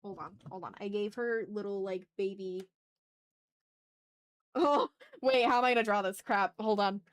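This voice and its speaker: A woman with a mid-pitched voice hosts a casual livestream. She rambles informally, half talking to herself and half to viewers, with the odd mild swear. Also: moderate pace. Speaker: art livestream host. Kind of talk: casual rambling chat while drawing.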